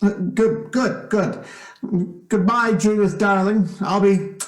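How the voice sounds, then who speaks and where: Roomy echo, man acting genial fatherly figure, high male voice, jovial, slightly fumbling, old-time radio drama. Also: fairly even intonation, takes it slowly. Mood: genial, reassuring. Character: hearty, affectionate father of a teenage son. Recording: roomy, echoing stage-like sound.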